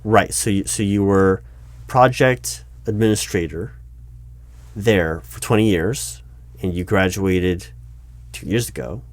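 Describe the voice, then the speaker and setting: A man roleplaying a skeptical but polite hiring manager in a one-sided interview, speaking out loud in a halting, stammering way. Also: low voice, no echo. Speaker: roleplay performer as recruiting manager. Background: computer keyboard typing.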